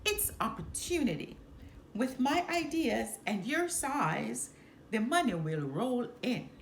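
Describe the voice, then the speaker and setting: Woman voicing a glib, animated fox hustler. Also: a little high, takes it slowly, full character voice. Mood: upbeat, persuasive. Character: sly, confident young male fox hustler. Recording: roomy echo, some background noise.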